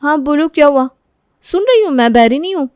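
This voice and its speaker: A woman's voice: high-pitched, level tone, fast talker, no room sound, voice pushed up, close to an everyday voice. A woman voicing an irritable, careless young daughter in a story, with snappy, whiny back-talk. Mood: annoyed.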